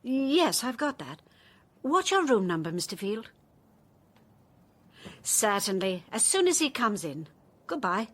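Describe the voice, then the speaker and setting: Lively, high-voiced brisk office partner. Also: female voice, slight background noise. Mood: brisk, polite. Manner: polite, efficient. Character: Miss Birch, brisk, courteous woman office partner. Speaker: actress. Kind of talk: radio drama acting.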